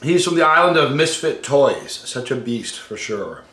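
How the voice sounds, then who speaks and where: A man's voice: mid-range voice, big pitch swings, unhurried, a bit bright. Echoey, casual man hosting a livestream. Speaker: host of a hot sauce challenge channel. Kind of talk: casual livestream talk, reading viewer comments. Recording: roomy, echoey room sound.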